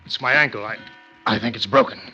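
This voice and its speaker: Husky, coolly ruthless radio villain. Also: male voice, mid-range voice, fast, bright, forceful tone, soft background music. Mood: pained, unrepentant. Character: coolly ruthless male killer scientist, smooth and domineering. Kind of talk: old-time radio thriller acting. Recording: muffled vintage radio recording.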